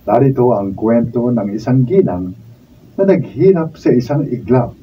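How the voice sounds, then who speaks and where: A man's voice: mid-range voice, expressive, a little slow. A man with a Filipino accent delivering scripted radio drama narration. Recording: dull distant mic, faint noise.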